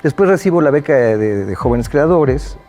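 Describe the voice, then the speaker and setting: A man's voice: mid-range voice, animated, moderate pace, dark tone. A man, a writer and editor in conversation, speaking in a reflective way with some restarts. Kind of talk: conversational interview.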